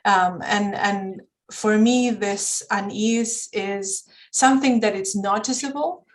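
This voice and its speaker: A woman whose first language is Spanish, speaking on a video call at a medium, everyday pitch. She talks in a reflective, conversational way, pausing to search for words and starting phrases over as she feels her way toward what she means. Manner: thoughtful, searching for words with many restarts. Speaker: counselor speaking on a panel.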